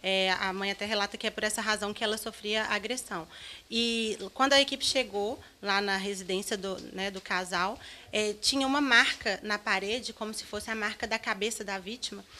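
A woman in her thirties, a police chief, giving a halting statement into a cluster of press microphones at a police station, with many fillers. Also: medium pitch, pushed and bright. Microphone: bunch of handheld press mics.

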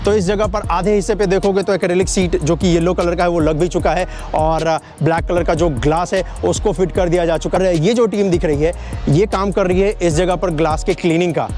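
A man's voice: high voice, steady tone, a little fast, darkish tone, faint music behind. A man giving a chatty walking tour outdoors beside railway tracks, pointing things out and talking to his viewers as friends. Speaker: vlogger showing construction sites. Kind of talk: casual walking tour commentary.

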